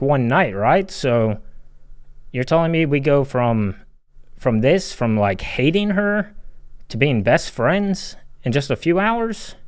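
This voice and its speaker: A man giving an unscripted, exasperated video game critique with a lot of swearing. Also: medium pitch. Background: game audio.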